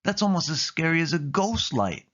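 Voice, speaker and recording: Dull recording, man as childlike male car, storybook, wide-eyed, exclaiming, raised pitch. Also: big pitch swings, normal speed, fairly bright tone. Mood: spooked. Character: eager, childlike male car, easily spooked friend.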